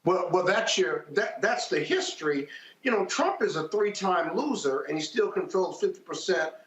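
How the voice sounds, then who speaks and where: High-pitched man in an echoey room. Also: expressive, moderate pace, fairly bright tone. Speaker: political panelist. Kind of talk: live political commentary. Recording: echoey room with clear background noise.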